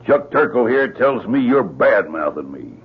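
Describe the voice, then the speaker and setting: An actor playing a big, rough, threatening lumberjack villain with a rural American accent in an old-time radio drama. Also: male voice, lowish voice, very animated, moderate pace, heavily acted. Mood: confrontational. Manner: threatening, blunt. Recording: tinny, noisy old radio recording.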